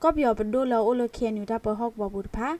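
A woman's voice: fairly high pitch, rapid-fire, warm tone, dry recording. A woman radio news presenter reading scripted news.

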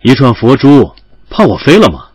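Husky, calm young male doctor. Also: medium pitch, normal speed, dry recording. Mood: calm, teasing. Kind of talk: audio drama dialogue.

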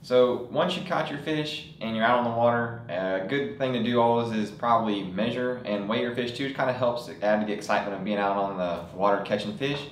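A man's voice: medium pitch, brisk, hardly any pauses, reverberant, slight background noise, low-quality recording. A young man presenting fishing products at a table in a studio, talking casually with frequent fillers. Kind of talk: product demonstration.

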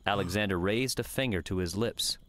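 Low-voiced man narrating an audiobook. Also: brisk, a bit bright. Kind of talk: scripted fiction narration.

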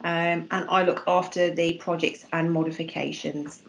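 A woman project engineer talking briskly on a video call. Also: fairly low pitch, little pitch movement, creaky, poor audio. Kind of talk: casual video-call chat.

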